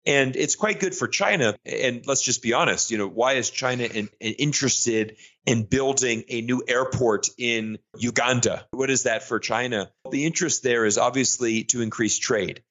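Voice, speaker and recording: A man's voice: medium pitch, moderate pace, hardly any pauses, fairly bright tone. Man, from the US, interview guest, eager, many restarts, dull muffled audio. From United States. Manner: enthusiastic, frequent restarts and fillers. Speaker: guest analyst. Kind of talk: conversational interview.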